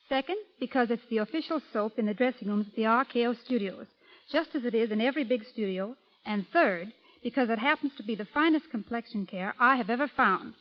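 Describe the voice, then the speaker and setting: A high female voice speaks in carefully composed, formal sentences as a guest on a scripted radio program. The sound is the dull, limited audio of a 1930s broadcast. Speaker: film stand-in and guest. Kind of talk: scripted radio interview with product testimonial.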